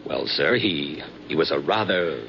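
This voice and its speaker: A man's voice: extremely low pitch, animated, measured pace, creaky, heavily acted. A man playing a polite, hesitant, discreet hotel desk clerk in 1950s radio drama, deferential and prim. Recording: dull vintage radio recording, slight noise.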